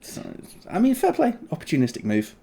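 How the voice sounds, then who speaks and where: A man's voice: fairly high pitch, wildly swooping pitch, brisk, creaky, warm tone, husky. A man co-hosting a casual podcast, joking and laughing, with playful mock voices. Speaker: podcast co-host. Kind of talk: casual chat.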